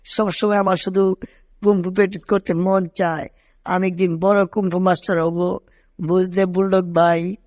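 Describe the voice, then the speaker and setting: A man voicing an excitable, boastful cartoon cat who dreams of kung fu mastery, with whining exclamations. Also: high voice, measured pace, no room sound, clean studio sound. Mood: pleading. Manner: animated, whining, exclaiming, shouting kung fu cries. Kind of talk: amateur cartoon dub in Bengali.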